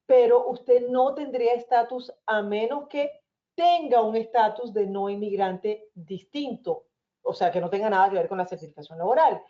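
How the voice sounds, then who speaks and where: Woman immigration lawyer, Venezuelan accent, soothing explainer; slightly high voice, muffled webinar sound. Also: moderate pace, dark tone. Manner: reassuring, explanatory, addresses listeners as señores.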